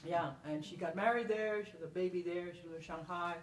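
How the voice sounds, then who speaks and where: A woman's voice: on the low side, a little fast. Older woman, emphatic, conversational storyteller, repeats key phrases, roomy distant recording. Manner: emphatic, repeats phrases, often checks with "okay?". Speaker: panel speaker and performer. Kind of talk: animated conversational storytelling to an audience. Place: indoor room at a public library event. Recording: roomy, distant mic, faint noise.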